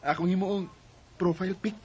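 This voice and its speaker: Low-key, coaxing young suitor. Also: male voice, fairly even intonation, normal speed, darkish tone, breathy edge. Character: young male suitor, coaxing and casually fickle. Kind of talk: Philippine radio drama acting.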